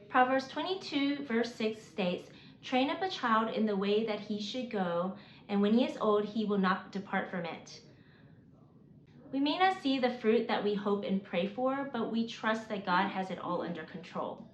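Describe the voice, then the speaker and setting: A woman in her forties, raised in the American South, talks through her reasons in an earnest, measured way, sometimes stumbling and restarting. The recording sounds roomy and a little dull, with a faint hiss underneath. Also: medium pitch, a bit bright. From South Korea, raised in Mississippi. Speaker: homeschooling mom. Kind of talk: reading a prepared list.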